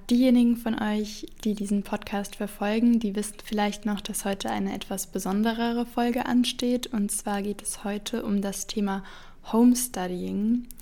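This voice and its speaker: A young woman hosting a student podcast, presenting from a prepared script with a steady delivery. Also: medium pitch. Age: young adult. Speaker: podcast host.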